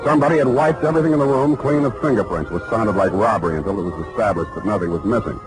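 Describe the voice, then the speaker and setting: Male actor playing a hard-boiled radio detective: low, laconic, wry, vintage broadcast with loud music. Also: expressive, rapid-fire, natural voice, rough recording. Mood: wry narration. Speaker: actor and narrator. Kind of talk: 1940s radio detective drama acting.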